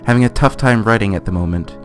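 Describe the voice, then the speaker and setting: A man with a fairly low voice reads a fantasy guidebook aloud. His delivery is theatrical and deliberate, like a dramatic reading for a game video. Guitar music plays clearly behind him. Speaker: let's play gamer.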